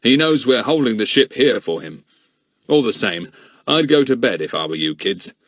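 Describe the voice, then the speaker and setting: A calm ship's officer in a children's adventure story, acted by a male narrator. He speaks at a middle pitch, practical and kindly, in a dry, close recording. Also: moderate pace, dry recording. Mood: reassuring, practical. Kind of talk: audiobook character voice.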